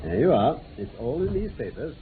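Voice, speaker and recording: An actor playing a confident, methodical British detective, speaking precisely and with authority in a radio drama. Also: male voice, medium pitch, big pitch swings, a little fast, a crowd in the background, lightly acted. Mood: unhurried. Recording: muffled noisy vintage radio recording.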